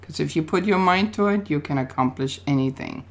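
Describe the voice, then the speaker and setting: Austrian-accented female interview guest, low voice, upbeat and determined. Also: brisk. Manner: upbeat, determined, speaks in short affirmations. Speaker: guest, plus-size model. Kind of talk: interview answers.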